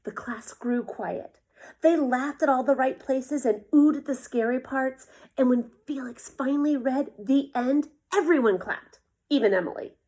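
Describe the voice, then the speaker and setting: A woman reading a children's story aloud in an expressive, deliberate storytelling manner. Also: sits high. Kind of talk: scripted children's read-aloud narration.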